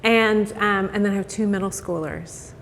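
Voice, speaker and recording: A woman's voice: medium pitch, moderate pace, fairly bright tone, in a large hall, a little hiss or hum. A woman in her forties, born in Scotland and raised in England, answering interview questions in a friendly, modest way with light laughter. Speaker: interview guest, church member. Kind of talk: casual conversation.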